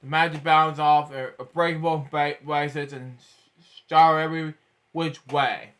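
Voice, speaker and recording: A man with a high-pitched voice reads a children's story aloud. His tone is bright and forward. He reads haltingly, tripping on words and drifting into little repeated comments between sentences. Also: steady tone. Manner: halting, stumbles over words and breaks off for repeated asides. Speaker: storybook reader.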